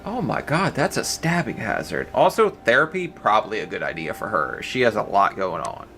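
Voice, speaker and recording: A man's voice: lowish voice, big pitch swings, moderate pace, gravelly, pushed and bright, faint music behind. A man giving casual live reaction commentary in a blunt, exclamatory, foul-mouthed way. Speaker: reaction streamer.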